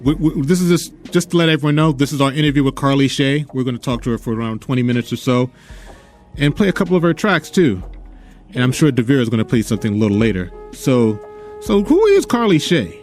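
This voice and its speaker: Halting male radio host, mid-pitched. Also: big pitch swings, darkish tone, faint music behind. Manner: hesitant, halting with fillers. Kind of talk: live radio interview.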